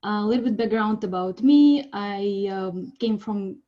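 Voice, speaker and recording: A woman from Siberia, giving a friendly, chatty artist's talk on a video call, pausing with ums. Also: medium pitch, dark tone, muffled recording. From Siberia, Russia. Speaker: presenting artist. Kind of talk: informal online presentation.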